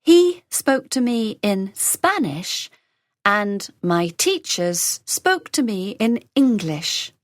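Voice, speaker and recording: Woman, lesson dialogue reader: unhurried, pitch rising and falling a lot, dry close sound. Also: very animated, dry recording. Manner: slow, carefully enunciated, lines read three times over. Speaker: dialogue reader for English learners. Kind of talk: scripted lesson dialogue, each line repeated.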